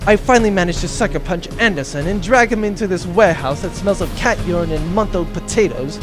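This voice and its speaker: A man voicing a self-pitying, pompous bounty hunter villain in a comic audio drama, full of aggrieved, long-winded speech. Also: high-pitched.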